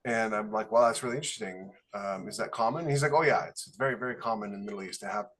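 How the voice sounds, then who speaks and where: A man's voice: fairly low pitch, fast talker, a bit bright. A man in a casual panel discussion, talking as a podcast co-host.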